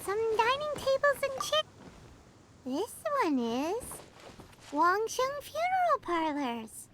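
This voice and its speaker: A woman voicing a tiny, perky, excitable fairy companion in a video game, eager and a bit bossy. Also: extremely high pitch, takes it slowly, very noisy, full character voice. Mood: excited.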